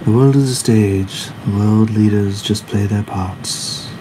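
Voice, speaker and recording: A man's voice: fairly low pitch, slow, noisy background. A man in his forties chatting casually on a live drawing stream, slangy and offhand as he reads and answers viewer comments. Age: 40s. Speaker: comic artist hosting a live drawing stream. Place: indoors at a drawing desk.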